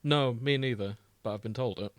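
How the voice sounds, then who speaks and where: A man's voice: mid-range voice, fairly quick, no echo. A man co-hosting a podcast, chatting casually about fandom in a joking, conversational way. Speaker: podcast co-host.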